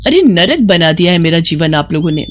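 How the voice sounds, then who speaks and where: A narrator voicing a bratty, short-tempered young city daughter-in-law who scolds indignantly in an animated moral story. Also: mid-range voice, a little fast, slight background noise, close to an everyday voice. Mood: indignant, scolding.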